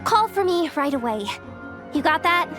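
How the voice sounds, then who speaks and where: A woman's voice: very high-pitched, big pitch swings, normal speed. A woman playing an earnest, overworked young woman manager in a video game, with a fretful delivery. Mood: concerned, firm. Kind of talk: animated game voice acting. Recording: music under the voice.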